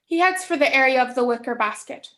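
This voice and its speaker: Young adult female narrator for a staged play reading; high pitch, scripted stage directions. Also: expressive, quick pace, pushed and bright.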